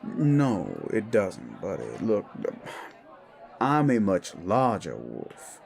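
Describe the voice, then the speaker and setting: A man's voice: fairly low pitch, wildly swooping pitch, creaky, darkish tone, heavily acted. A man playing a large, condescending werewolf who talks in a dry, teasing, self-assured way in an audio drama. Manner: slow, deliberate, teasing. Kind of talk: scripted audio drama dialogue.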